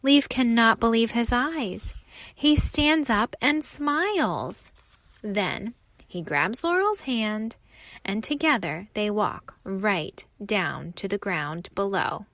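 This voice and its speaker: Slow, expressive woman reading a children's story. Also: medium pitch, dry recording. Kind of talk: scripted read-aloud narration for children.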